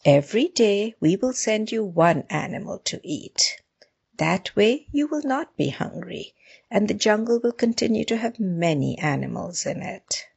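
A woman's voice: medium pitch, big pitch swings, unhurried, gravelly, darkish tone, breathy edge, pitched above the performer's own voice, exaggerated voice. A woman voicing a timid jungle animal that pleads and reasons politely with its king in a storybook tale. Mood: timid, pleading.

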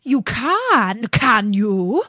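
A woman's voice: a little high, big pitch swings, very slow, pushed and bright, dry recording, studio quality, exaggerated voice. A woman voicing a menacing wolf in a storybook, snarling and taunting. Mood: taunting menace.

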